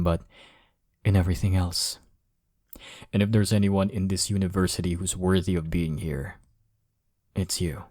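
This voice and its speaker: Deep-voiced, cocky flirtatious college rival acted by a man. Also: no room sound, clean studio sound. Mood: teasing, sincere. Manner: smug, flirtatious, drawling teasing with soft chuckles. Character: cocky, teasing male college rival smitten with listener. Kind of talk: intimate romantic audio roleplay.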